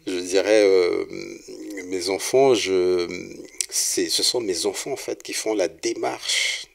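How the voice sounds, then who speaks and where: Slow, low-voiced Congolese-born singer talking. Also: male voice, gravelly, crowd noise. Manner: hesitant, many restarts and fillers. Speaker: singer-songwriter guest. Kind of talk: relaxed interview talk.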